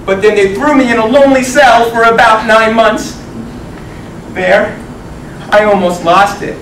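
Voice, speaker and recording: Theatrical high-voiced young man, echoey room. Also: fairly bright tone. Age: young adult. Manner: theatrical, projected, dramatic pauses. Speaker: contestant speaker. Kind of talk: rhyming comic tall-tale speech. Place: medium banquet meeting room, indoors. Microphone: distant camera microphone. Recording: echoey room, far from mic, noisy. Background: audience noise.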